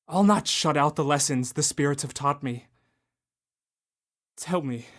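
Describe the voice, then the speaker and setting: A young man playing a remorseful old miser who pleads with a spirit in an anguished voice. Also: a little high. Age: young adult. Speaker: Ebenezer Scrooge. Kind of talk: student radio drama.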